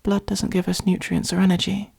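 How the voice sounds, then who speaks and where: Woman playing a gentle, creaky, whispering vampire. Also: medium pitch, flat delivery, a little fast. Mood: patient explaining. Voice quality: breathy, creaky. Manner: soft, breathy whispering, patient and reassuring. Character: gentle, protective adult vampire caring for a fledgling. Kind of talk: whispered comforting ASMR roleplay.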